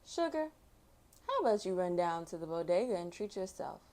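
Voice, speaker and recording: A woman reading the part of an affectionate mother in a children's storybook, gently coaxing her child. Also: fairly low pitch, very animated, normal speed.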